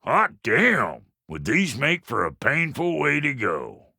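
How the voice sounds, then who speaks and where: A gravelly, foul-tempered dwarf blacksmith from a fantasy video game, with an American accent. He is cocky and blunt in a folksy way. His pitch swoops wildly and his tone is hard and forceful. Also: wildly swooping pitch, takes it slowly, no echo, clean studio sound, full character voice. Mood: proud, cocky. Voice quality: gravelly, rough. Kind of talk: video game character lines.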